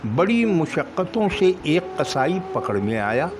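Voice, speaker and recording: A middle-aged man narrating a short story in a measured, deliberate way, pausing between short phrases. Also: on the high side, sing-song, noisy background. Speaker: storyteller reading own short stories. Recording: music behind the voice. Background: music.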